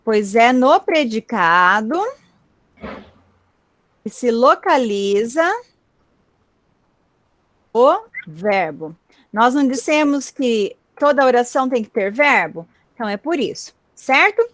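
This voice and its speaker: A woman's voice: fairly high pitch, sing-song, slow pace, pauses often, fairly bright tone, no echo. A woman teaching a grammar lesson in an online class, explaining patiently and checking in with her students often. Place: home, online video class. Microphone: computer mic on a video call.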